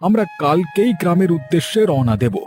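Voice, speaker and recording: A man playing a genial, indulgent husband and father in a cartoon, hearty and reassuring in his expressive talk. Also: high voice, quick pace, music in the background. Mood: cheerful, reassuring. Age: adult. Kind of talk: cartoon voice acting.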